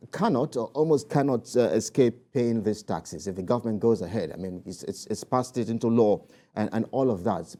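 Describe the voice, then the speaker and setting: Nigerian guy doing a news interview, really mellow dark voice, kinda stop-start when he asks stuff. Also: on the low side.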